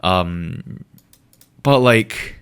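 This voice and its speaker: A man with a low voice and a first language of Korean talks casually on a podcast, swinging his pitch up and down in a lively way. He rambles in a loose, profane manner full of fillers. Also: drawn-out words, smooth. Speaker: podcast co-host. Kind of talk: casual chat.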